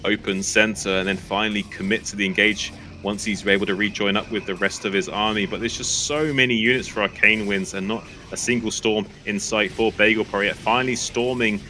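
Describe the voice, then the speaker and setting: A man calling the play-by-play of a live esports match in a quick, excited way. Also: fairly low pitch, slight background noise. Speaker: esports caster. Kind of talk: play-by-play commentary.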